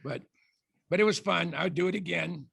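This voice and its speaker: Older man with a raspy gravelly voice. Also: high-pitched, a bit bright, no echo. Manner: halting, many restarts and fillers. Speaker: guest speaker. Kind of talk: rambling anecdotal presentation.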